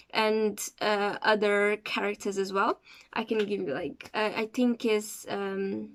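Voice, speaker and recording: Woman, talks slow, stops a lot to find her words, chatty review vlog. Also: medium pitch, a bit bright. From Cape Verde. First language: Portuguese. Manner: hesitant, searching for words as she goes. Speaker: series reviewer.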